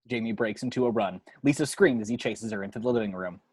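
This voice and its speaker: A man's voice: lowish voice, quick pace, breathy edge, dry recording. A man reading out the action description of a screenplay as a scripted narrator.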